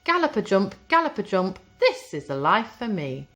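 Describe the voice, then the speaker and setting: A woman's voice: medium pitch, very animated, normal speed, a bit bright. Woman as a singsong, dreamy toy rocking horse. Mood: contented. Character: wistful male toy rocking horse, easily contented, dreamy.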